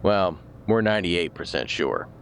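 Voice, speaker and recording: An actor playing a dry, matter-of-fact investigating agent in a naturalistic podcast drama. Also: male voice, low-pitched, expressive, normal speed, creaky, slight background noise. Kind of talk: naturalistic fiction podcast acting.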